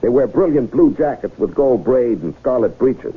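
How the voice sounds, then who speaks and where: An actor playing a smooth-talking, goading male swindler in 1970s radio drama style, rhetorical and needling, with dramatic pauses. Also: medium pitch, lively intonation. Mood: accusing, theatrical. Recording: muffled 1970s radio broadcast recording.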